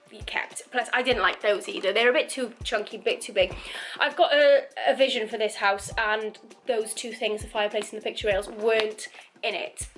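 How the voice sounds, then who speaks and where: A woman vlogging casually about renovating her living room, chatty and rambling, her voice croaky from dust. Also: mid-range voice, fairly bright tone. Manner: chatty, rambling, laughs often. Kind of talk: casual chatty vlog to camera.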